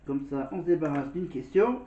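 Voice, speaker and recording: A man's voice: fairly high pitch, expressive, a little fast. A man hosting a vlog, talking casually and directly to viewers with quiz questions and commentary, often repeating himself. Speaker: vlog host. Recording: dull, roomy sound.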